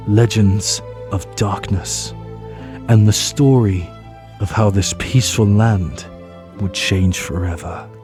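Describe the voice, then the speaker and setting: Low, slow male storyteller over music. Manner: measured, solemn, dramatic pauses. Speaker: narrator. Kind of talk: scripted fantasy storytelling narration.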